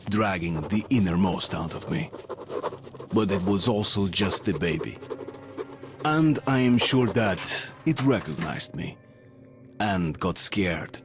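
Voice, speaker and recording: An actor playing a grave, haunted young soldier who narrates his memories in a game, measured. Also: low voice. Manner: solemn, measured, introspective.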